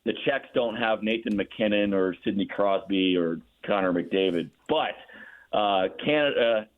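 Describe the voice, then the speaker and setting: A man's voice: lowish voice, unhurried. A man talking sports analysis in an enthusiastic, conversational way, as a guest broadcaster. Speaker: radio play-by-play broadcaster as guest. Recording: narrow muffled phone-like line.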